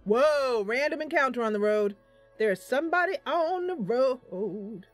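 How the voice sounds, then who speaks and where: A woman's voice: high voice, expressive, a little slow, dry recording, soft background music. A woman streaming a game live, chatting casually and affectionately with her viewers.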